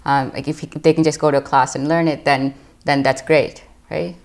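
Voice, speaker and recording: A woman's voice: sits low, level tone, normal speed. A young woman speaking as a panel guest, earnest and in the habit of tagging her points with "right?". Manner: earnest, keeps adding "right?". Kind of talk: panel discussion.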